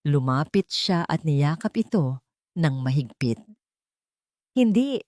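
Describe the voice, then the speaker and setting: A woman's voice: low-pitched, expressive, moderate pace, darkish tone, no echo, clean studio sound. A woman reading a scripted dramatic story as a storyteller. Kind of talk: dramatic scripted audiobook narration.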